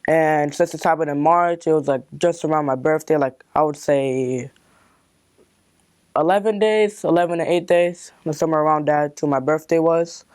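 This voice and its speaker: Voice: medium pitch, fairly even intonation, normal speed, darkish tone, clear, resonant tone, no echo, clean studio sound. A young adult interviewee giving a casual personal account.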